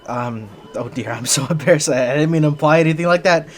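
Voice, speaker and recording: A man's voice: a little high, fast, natural voice. A man playing a shy, flustered young bunny-boy hybrid in an ASMR roleplay, stammering and hesitant, earnest and sweet as he speaks to the listener. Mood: flustered, embarrassed.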